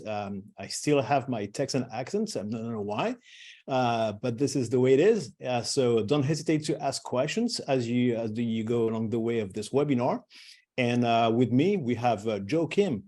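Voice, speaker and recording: A man's voice: mid-range voice, a little mellow. A middle-aged man presenting a webinar, talking loosely with many fillers and restarts.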